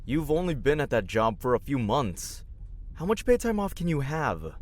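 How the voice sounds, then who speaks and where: A man's voice: mid-range voice, big pitch swings, normal speed, slight background noise, close to an everyday voice. A young man playing a sarcastic, resentful grown son in an audio drama. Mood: sullen, sarcastic. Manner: sarcastic, sullen.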